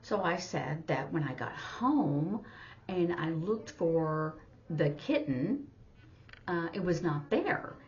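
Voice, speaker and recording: A woman teacher retelling a story informally for a lesson, in a halting, step-by-step way. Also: lowish voice, expressive, darkish tone. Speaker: sign language teacher. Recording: dull, muffled lo-fi audio.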